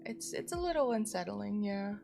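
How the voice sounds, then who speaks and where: A woman live streamer chatting casually to viewers in an expressive, rambling, playful way, sounding a little sleepy. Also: medium pitch, normal speed, creaky. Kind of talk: casual chatty livestream sign-off. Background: music.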